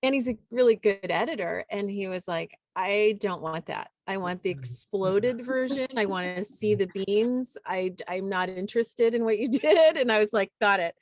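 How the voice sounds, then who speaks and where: A woman's voice: mid-range voice, moderate pace, hardly any pauses, dry recording. A woman poet and teacher telling anecdotes in an informal, casual way, acting out bits of dialogue. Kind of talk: casual anecdotal panel talk.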